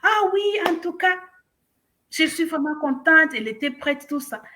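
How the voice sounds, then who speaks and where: Lady coach, bright high voice, pushy and persuasive, drops english bits in. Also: lively intonation, moderate pace. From Congo. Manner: emphatic, persuasive, rhetorical questions, mixes in English phrases. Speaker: business coach guest. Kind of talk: casual video interview.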